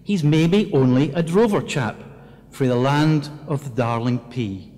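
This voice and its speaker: A man's voice: a little high, measured pace, dark tone, natural voice. A Scotsman doing a dour farm wife. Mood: wary. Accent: Scottish. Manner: grudging and cautious. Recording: long reverb of a large hall.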